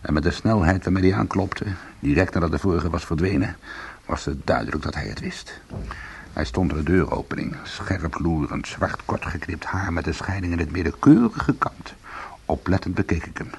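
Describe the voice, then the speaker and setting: A man playing a bitter, defiant ex-convict in a Dutch radio play, with a gravelly, husky voice and a sardonic manner. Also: low voice, lively intonation, normal speed, slight background noise. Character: bitter, defiant male ex-convict trying to go straight. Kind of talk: Dutch radio drama dialogue and narration.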